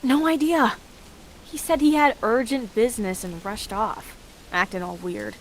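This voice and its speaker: An actress playing a plucky, pushy young martial hero in a video game, with an upbeat, coaxing way of talking. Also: female voice, on the high side, steady tone, unhurried, slight background noise, natural voice, rough recording. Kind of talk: dramatic game cutscene acting. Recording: music behind.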